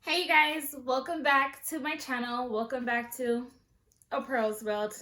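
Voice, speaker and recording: A woman's voice: high voice, fairly even intonation, a little slow, echoey room, noisy background. A woman in her twenties from Southern California, chatting casually and sassily to her vlog viewers. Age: 20s. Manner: chatty, sassy, playful asides. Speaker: beauty vlogger. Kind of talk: casual chat.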